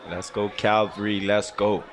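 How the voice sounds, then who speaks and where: A man's voice: lowish voice, level tone, unhurried, a faint crowd, slight background noise. A young man with a Bahamian accent, a guest baseball player joining the commentary booth, playful and laughing, repeating his calls.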